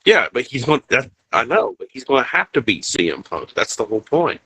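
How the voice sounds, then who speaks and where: A man's voice: mid-range voice, big pitch swings, brisk, pushed and bright, husky, low-quality recording. A man co-hosting a casual live stream podcast, rambling with lots of restarts and "you know". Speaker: podcast co-host.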